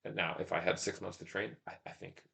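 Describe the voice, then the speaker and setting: A man's voice: deep voice, level tone, fast, creaky, pushed and bright. A man talking casually as a podcast guest, telling running stories in a rambling, self-deprecating way, thinking aloud. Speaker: podcast guest, ultramarathon runner and shop owner. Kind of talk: casual interview talk, telling stories about racing.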